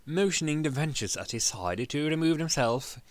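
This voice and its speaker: A man giving a dramatic reading of a story, halting and stumbling over words as he narrates. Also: fairly high pitch, lively intonation, no echo. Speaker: narrator, streamer.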